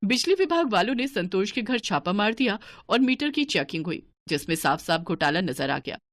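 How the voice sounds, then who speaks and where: A woman giving steady, scripted narration for a cartoon moral story. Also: medium pitch, normal speed, no echo.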